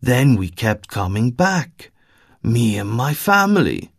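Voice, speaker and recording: A man voicing a polite young man who has lost his memory, speaking gently and pleadingly. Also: medium pitch, sing-song, takes it slowly, creaky, breathy edge, no room sound, clean studio sound, heavily acted. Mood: reflective.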